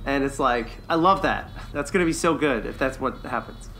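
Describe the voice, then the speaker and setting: A man's voice: mid-range voice, normal speed, a bit bright, rough recording. A man co-hosting a podcast, chatting casually and enthusiastically in an agreeable way as he reviews. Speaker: podcast co-host. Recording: clear background noise.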